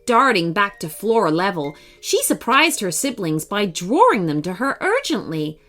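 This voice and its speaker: Lively, unhurried woman telling bedtime stories. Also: mid-range voice. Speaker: children's storyteller. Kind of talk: expressive scripted bedtime story narration. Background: faint music.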